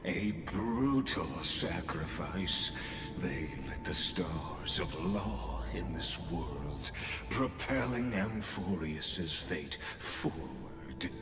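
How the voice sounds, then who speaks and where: A man's voice: low voice, animated, very slow, roomy sound, exaggerated voice. A man narrating a fantasy game's story in a solemn, scripted way, as a grave epic narrator. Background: game score music.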